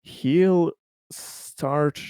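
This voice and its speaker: Man, tabletop roleplayer, halting casual narration, clean smooth voice, very dry close sound. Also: on the high side, warm tone, dry recording. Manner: halting, hesitant phrasing with long pauses. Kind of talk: casual in-game narration of their character's plans.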